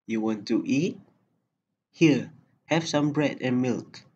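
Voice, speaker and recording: A man playing a giant's motherly but sinister wife in an amateur radio drama, speaking in a soft, coaxing way. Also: mid-range voice, slow pace, slightly rough, muffled recording. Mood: kindly.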